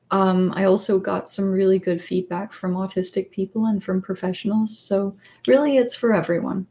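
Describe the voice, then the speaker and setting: A woman whose first language is Danish, chatting casually on a livestream as a psychologist and author. She pauses often and searches for words. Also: medium pitch, a little mellow. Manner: hesitant, many fillers and restarts, searches for words. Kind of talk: casual livestream chat answering viewer questions.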